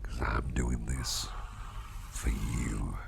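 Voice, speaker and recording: A man playing a gentle, doting businessman boss in an ASMR roleplay, murmuring soothingly in a gravelly, breathy half-whisper. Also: a bass voice, steady tone, very slow, noisy background, heavily acted. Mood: soothing, tender. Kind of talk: ASMR roleplay, personal attention.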